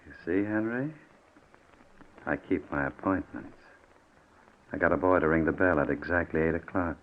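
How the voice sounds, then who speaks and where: An actor playing a vengeful, menacing man who taunts in deliberate 1940s radio drama style. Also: extremely low pitch. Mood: cold menace. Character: vengeful male killer, cold, taunting, menacing. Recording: dull vintage radio recording.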